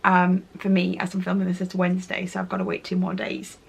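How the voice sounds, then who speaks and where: A woman, chatting casually to camera about her monthly favourites, her voice croaky and nasal from a cold, gushing and repeating "really, really". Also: on the low side, monotone, fairly quick. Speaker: lifestyle vlogger.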